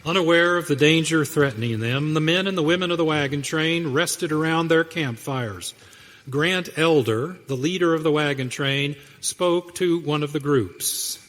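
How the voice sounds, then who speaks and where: A man's voice: on the high side, moderate pace, fairly bright tone. A man delivering scripted dramatic narration as the narrator of a radio play. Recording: reverberant hall, clear background noise.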